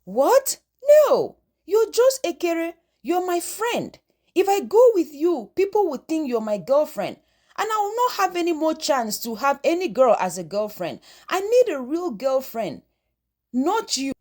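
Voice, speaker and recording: Woman voicing a high, whiny, self-pitying teenage boy. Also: very animated, unhurried, a little mellow. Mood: dismissive. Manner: plaintive complaining. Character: self-pitying, dismissive teenage schoolboy friend.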